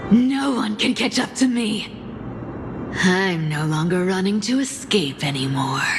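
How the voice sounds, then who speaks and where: A woman playing a proud, defiant and sardonic rebel in a video game, with a deliberate, taunting delivery. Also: mid-range voice, animated. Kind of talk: dramatic video game acting. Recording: long reverb under music.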